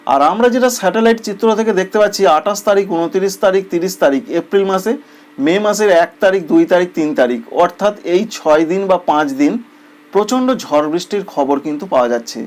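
A man's voice: high voice, quick pace, a little mellow. A man presents a weather bulletin in an explanatory, emphatic way, repeating phrases for clarity. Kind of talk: semi-scripted weather bulletin narration.

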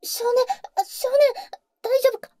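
A woman playing a tender, protective vampire older sister in a Japanese ASMR audio roleplay, soft and breathy with cool, boyish phrasing, close on the mic. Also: very high-pitched, fairly even intonation, a little slow, no room sound. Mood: panicked, crying. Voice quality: soft, breathy, often whispering. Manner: husky, cool, boyish speech. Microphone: close studio microphone, dry.

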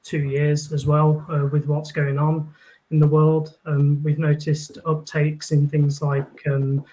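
A man in his thirties giving halting, explanatory answers as a panelist on a video call. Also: on the high side, completely flat delivery, warm tone, low-quality recording. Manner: hesitant, halting delivery. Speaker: panelist, industry representative. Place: indoor room.

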